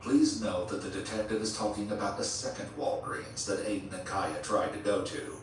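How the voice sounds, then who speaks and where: A man delivering scripted documentary narration in a measured, explanatory way. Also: low-pitched, fairly even intonation, faint music behind. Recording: echoey, distant, noisy playback.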